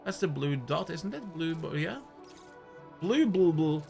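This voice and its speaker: Male streamer, casual and jokey, over clear game music. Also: on the high side, animated, measured pace, dark tone. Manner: joking, reads game text aloud. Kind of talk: casual gaming commentary.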